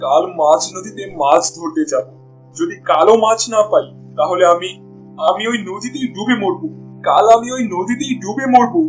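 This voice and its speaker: A poor village fisherman acted by a man with a high male voice, a devoted family man. He speaks in a plaintive, exclaiming folksy way, as in a cartoon folk tale, over background music. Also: moderate pace, close to an everyday voice. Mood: despairing, pleading. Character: poor, weary village fisherman, loving father and husband. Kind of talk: cartoon voice acting.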